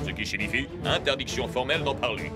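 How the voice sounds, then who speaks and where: A man's voice: medium pitch, quick pace, loud music behind the voice, lightly acted. A man voicing a stern, methodical male football coach, formal and authoritative, in a cartoon dub. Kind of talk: animated cartoon dub acting.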